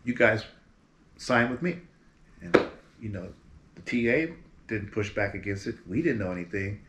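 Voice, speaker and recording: A man in his fifties from Berkeley, California, a musician guest telling stories from his career in a relaxed, animated way, seated in front of a green screen. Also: on the low side, moderate pace. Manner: relaxed, animated storyteller with lively quoted voices. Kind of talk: casual storytelling interview. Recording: roomy echo with faint background hiss.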